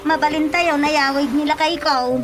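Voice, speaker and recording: An actress playing an excitable, gossipy neighbour woman in an Ilocano radio drama, full of exclamations. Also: sits high, normal speed, noisy background, natural voice. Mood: agitated. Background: prominent background music.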